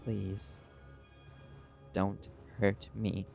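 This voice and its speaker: A man playing a defiant but frightened young male reporter held captive, speaking in a curt, strained, halting way in an audio drama. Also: lowish voice, level tone, gravelly, over loud music, heavily acted. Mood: fearful. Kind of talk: scripted audio roleplay drama.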